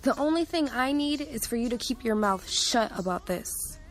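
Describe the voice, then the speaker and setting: A woman's voice: on the high side, lively intonation, normal speed, soft background music, lightly acted. An actress playing a desperate, obsessive, scheming young woman in an audio drama. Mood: defensive, obsessive.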